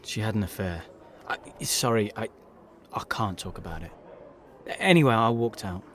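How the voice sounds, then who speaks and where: A man's voice: fairly low pitch, lively intonation, normal speed, a little hiss or hum, lightly acted. A man playing a young, struggling British jobbing actor, self-deprecating, insecure and hesitant, in a naturalistic audio drama. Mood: downcast.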